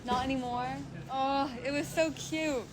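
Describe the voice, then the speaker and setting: A woman's voice: high-pitched, fairly even intonation, a little slow, crowd noise, rough recording. A woman from the United States chatting and giggling while filming a walk through a supermarket. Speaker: vlogger. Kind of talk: casual walk-around vlog.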